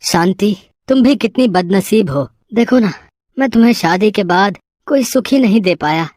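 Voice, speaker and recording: A woman's voice: mid-range voice, lively intonation, normal speed, a little mellow, dry recording, clean studio sound, natural voice. A woman voicing a poor, humble, regretful husband who sells vegetables, in a storybook tale.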